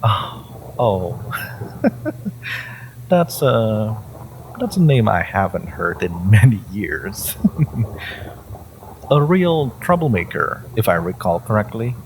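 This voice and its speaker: A man playing an ancient, possessive hive-mind entity in an audio drama, condescending and theatrical, with amused menace and a low, creaky voice. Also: big pitch swings, slight background noise, heavily acted, poor audio. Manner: theatrical, condescending, halting with drawn-out menace and chuckles. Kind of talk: scripted audio roleplay addressed to the listener.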